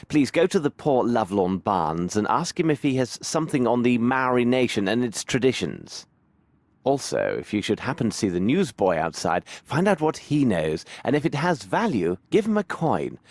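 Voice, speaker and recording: A low-voiced, imperious British detective. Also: male voice, dry recording. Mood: brisk, commanding. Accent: British English. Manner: precise, formal, deliberate, theatrical. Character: shrewd, imperious gentleman detective, adult man. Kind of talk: dramatic video game voice acting.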